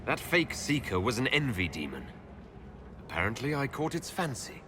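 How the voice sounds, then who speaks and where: Guy as calm wry game hero, lively intonation, dry delivery, slight noise. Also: mid-range voice, normal speed, natural voice. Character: composed, wry male fantasy hero. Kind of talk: video game drama acting.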